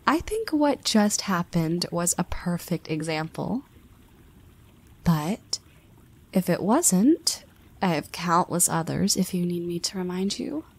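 A slow, gravelly teasing crush. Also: female voice, fairly low pitch, animated, exaggerated voice. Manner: playful teasing, half-whispered asides. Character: teasing confident young woman friend and crush. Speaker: voice actress. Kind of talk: scripted audio roleplay.

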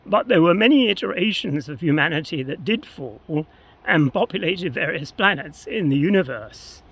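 Higher-pitched older man, creaky voice. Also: lively intonation, normal speed, fairly bright tone. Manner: tentative, philosophical, hedging. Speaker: interview guest. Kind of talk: reflective explanatory interview talk. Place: outdoors on open grassland by a path. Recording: outdoor recording with clear ambient noise.

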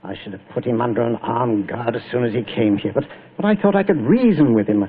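An actor playing a bitter, remorseful army general, halting and emotional, in an old radio drama. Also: male voice, mid-range voice, expressive, husky. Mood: regretful. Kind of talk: 1940s radio acting. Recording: dull vintage radio broadcast.

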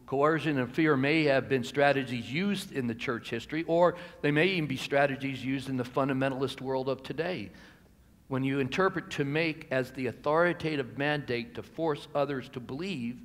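An American man in his sixties gives a sermon as a pastor, measured and deliberate, pausing for emphasis and letting phrases fall softer. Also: mid-range voice. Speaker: pastor preaching. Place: church sanctuary at a pulpit. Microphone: gooseneck pulpit microphone. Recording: reverberant hall, amplified pulpit sound.

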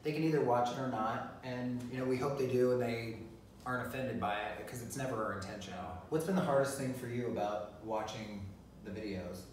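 A man's voice: medium pitch, fairly even intonation, a little fast, a little hiss or hum. Man, middle-aged, casual, lots of fillers, roomy echo, heard from a distance. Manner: rambling, many fillers and restarts. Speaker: co-host. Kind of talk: casual chat. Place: living room, seated on a leather sofa. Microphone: phone camera from across the room. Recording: very echoey room, voice off-mic.

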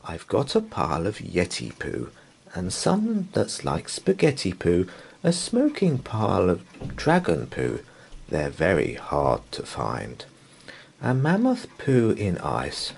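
A man's voice: low-pitched, huge pitch swings, slow pace, vocal fry, darkish tone, slight background noise, full character voice. A man voicing a flamboyant, eager showman and collector in a children's storybook, with boastful, theatrical patter. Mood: proud, excited.

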